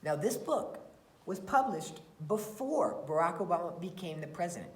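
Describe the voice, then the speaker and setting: A woman teacher reading aloud and talking to students in a deliberate, emphatic way. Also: fairly low pitch, expressive, darkish tone. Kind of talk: expressive read-aloud and lesson talk for children. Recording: roomy, echoey sound.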